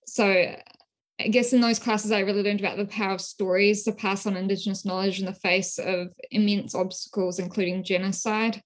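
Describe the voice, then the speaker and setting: A woman from New Zealand with a New Zealand accent, a scholar speaking in a thoughtful, measured way with frequent ums. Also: mid-range voice, steady tone. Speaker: guest scholar. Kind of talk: academic interview.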